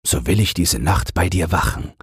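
A man voicing a calm, protective king in a fairy tale, measured and grave. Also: low voice, husky, dry recording, studio quality, exaggerated voice. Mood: calm authority.

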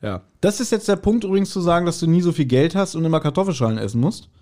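A man's voice: on the high side, fast talker, mellow. A man chatting casually as a podcast co-host, wry and teasing. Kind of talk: casual chat.

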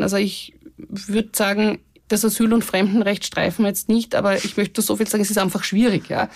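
Austrian woman with a gravelly voice. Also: mid-range voice, no echo. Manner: thoughtful, many fillers and restarts. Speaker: care organization representative. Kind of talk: podcast discussion.

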